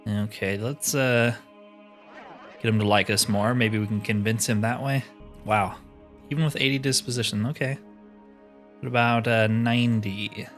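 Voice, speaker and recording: A man's voice: lowish voice, fairly even intonation, normal speed, long pauses, a bit bright, soft background music. Laid-back man doing game commentary. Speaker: host of a gaming series. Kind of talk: casual let's play commentary.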